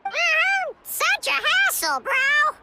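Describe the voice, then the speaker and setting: A voice actor playing a tiny lazy talking cat creature in a video game cutscene, with a squeaky, drawling voice. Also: big pitch swings, very slow, loud music behind the voice, heavily acted.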